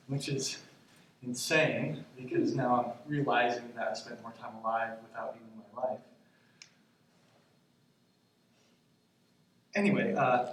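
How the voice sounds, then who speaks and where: A man in his twenties playing an anxious grandson in a stage monologue, talking in a halting, conversational, self-deprecating way. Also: mid-range voice, fairly bright tone, natural voice. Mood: reflective, awkward. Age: 20s. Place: stage in a theater, recorded from the audience. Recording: echoey theater, distant mic, faint noise.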